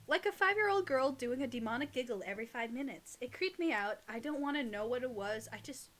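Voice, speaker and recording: A woman's voice: fairly high pitch, lively intonation, brisk, a bit bright. A woman co-hosting a casual reading of online stories, chatting and teasing in a playful way. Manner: playful, teasing banter with co-host. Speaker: host and reader of online horror stories.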